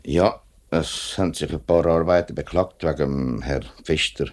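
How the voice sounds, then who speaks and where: A man's voice: a bass voice, moderate pace. An actor playing a principled, plain-spoken workers' spokesman in a 1950s radio drama, earnest and firm, with a Swiss accent. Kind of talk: 1950s German-language radio drama acting.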